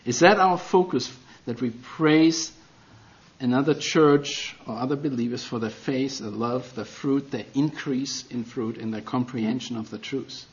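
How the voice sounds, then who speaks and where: A man's voice: medium pitch, a little slow, dull audio. A middle-aged man with a German accent preaching a sermon as a guest, earnest and self-deprecating, with non-native phrasing.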